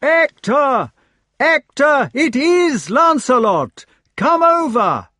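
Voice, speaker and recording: A man voicing an excited, affectionate storybook knight, gushing and exclaiming as he speaks. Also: high voice, a little slow, slightly rough, no room sound, studio quality, voice pushed up, heavily acted. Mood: shouting joyfully. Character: excited, affectionate knight searching for his lost friend. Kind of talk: storybook character voice.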